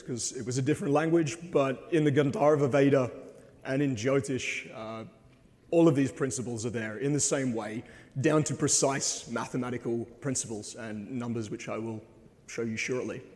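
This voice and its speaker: Slightly hoarse man, earnest and halting, with a long hall reverb around his voice. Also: mid-range voice, steady tone, a little mellow. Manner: hesitant, frequent ums, earnest. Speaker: conference speaker. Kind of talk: presentation talk with slides. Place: conference hall.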